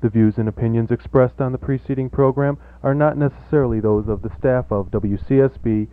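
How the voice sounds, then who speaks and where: A man reading a scripted radio disclaimer in a formal, deadpan manner, as an announcer. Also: mid-range voice, brisk, dry recording. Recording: tinny, narrow-band 1980s radio recording.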